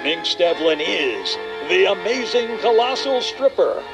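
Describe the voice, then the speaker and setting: An old-time movie trailer announcer hypes shocking pictures in a lurid, over-the-top radio-play style. The voice rides high and swoops wildly. Each line is drawn out slowly over clear backing music. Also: male voice, big pitch swings, heavily acted, rough recording. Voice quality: over-the-top, breathless ballyhoo delivery. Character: booming 1950s movie trailer announcer, male.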